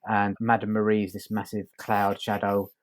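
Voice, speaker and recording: A man co-hosting a podcast, discussing casually in a halting, conversational way. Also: fairly low pitch, completely flat delivery, dry recording. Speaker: podcast co-host.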